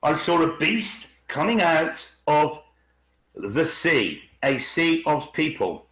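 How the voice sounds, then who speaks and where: A man's voice: on the high side, big pitch swings, slow, a bit bright. Echoey man presenting with rhetorical questions. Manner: rhetorical questions, emphatic repetition. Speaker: video channel host. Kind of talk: informal presentation with commentary. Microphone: video call. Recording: echoey video call audio.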